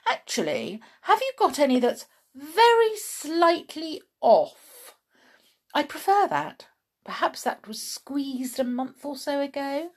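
A woman voicing a polite, fussy, greedy old gentleman tramp in a children's storybook. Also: sits high, big pitch swings, takes it slowly, voice pushed up, exaggerated voice. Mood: politely particular. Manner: fussy, courteous, stammering when flustered.

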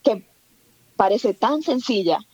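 A woman's voice: medium pitch, lively intonation, takes it slowly, a little mellow, slightly rough, dry recording, highs cut off. A woman in her thirties from the Dominican Republic, a guest in a casual conversation, speaking reflectively and hesitantly with fillers. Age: 30s.

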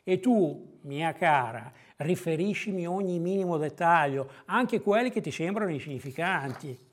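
A man's voice: on the high side, lively intonation, normal speed, close to an everyday voice. A man voicing a bossy, suspicious cicada department head in a storybook fable, giving scheming instructions. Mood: conspiratorial.

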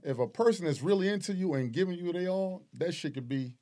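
A man with a slightly higher voice speaks in a brash, emphatic way, packing his talk with slang and swearing. He drives his points home forcefully in a relaxed group chat. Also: quick pace. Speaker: guest. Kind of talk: casual frank podcast chat.

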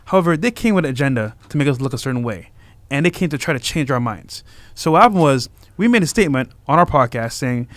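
A young man co-hosting a podcast who speaks in a fast, animated and insistent way during a heated discussion. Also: medium pitch, no echo. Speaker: podcast co-host.

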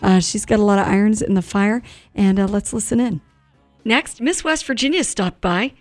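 A woman's voice: mid-range voice, normal speed, no echo, soft background music. A woman hosting a radio show, presenting items and announcements to listeners.

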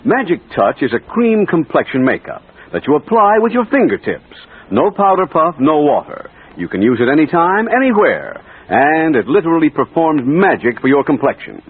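A man's voice: a little high, sing-song, normal speed, slightly rough. A man reading a scripted vintage radio commercial as an announcer. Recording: tinny narrow-band old radio recording.

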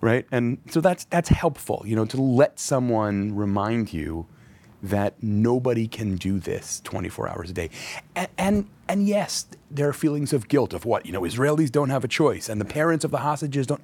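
A man's voice: mid-range voice, big pitch swings, moderate pace, darkish tone. A man who is a rabbi, speaking as a podcast guest in an earnest, reflective way, with the occasional wry aside. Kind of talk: thoughtful interview conversation.

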